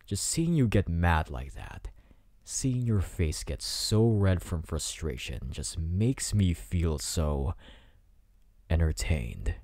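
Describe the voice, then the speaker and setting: A man acts out a teenage bad boy in an intimate ASMR roleplay. His voice is low and swings widely in pitch as he taunts and teases with smug confidence. The sound is close and dry. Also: sing-song, warm tone, no room sound, heavily acted. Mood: smug, teasing. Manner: taunting, smug, drawn-out teasing delivery. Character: cocky teasing teenage delinquent bad boy student.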